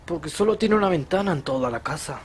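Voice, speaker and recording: Man as nervous teen boy, horror anime, Latin American accent, very high male pitch, faint noise. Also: expressive, fast talker, natural voice. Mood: uneasy curiosity. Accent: Latin American Spanish. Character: nervous, curious teenage boy, the family's son. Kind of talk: fan-dubbed horror anime acting.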